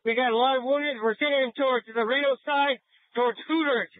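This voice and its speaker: A man's voice: very high voice, fairly even intonation, brisk, dry recording. A male police officer giving emergency dispatches over a police radio scanner. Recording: tinny, narrow-band police scanner radio.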